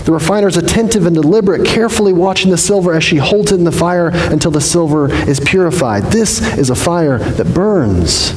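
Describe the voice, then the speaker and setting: Earnest pastor preaching in an echoey sanctuary. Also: male voice, on the high side. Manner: earnest and measured, slowing for emphasis. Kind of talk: sermon. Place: large church sanctuary, indoors. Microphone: headset mic at a pulpit. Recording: echoey hall reverb, faint hiss.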